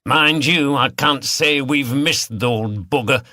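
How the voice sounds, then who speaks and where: A man's voice: medium pitch, slow, pushed and bright, no echo, clean studio sound, voice pushed up. A man voicing a blunt, grumbling working man, bantering as a pub regular in an audiobook.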